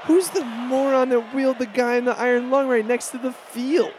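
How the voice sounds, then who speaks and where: A man's voice: very high-pitched, steady tone, normal speed, a little mellow, slight background noise. A man playing a bratty, swaggering, foul-mouthed rich schoolboy in a comedy cartoon, cocky and sarcastic. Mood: agitated. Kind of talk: animated comedy voice acting.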